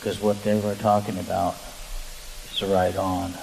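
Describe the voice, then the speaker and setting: A man answering off the cuff as a conference panelist, halting and rambling, with a joking streak. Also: low-pitched, little pitch movement, creaky. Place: large conference hall, through PA. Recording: hissy conference hall, long reverb.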